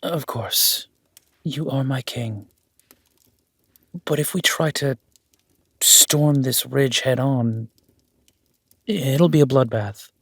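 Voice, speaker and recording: Cautious warrior duke, played by a man, slightly husky, slow-paced, deferential, hesitant, fantasy audio drama. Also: medium pitch, fairly bright tone, dry recording, exaggerated voice. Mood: strained deference. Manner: measured, respectful, hesitant.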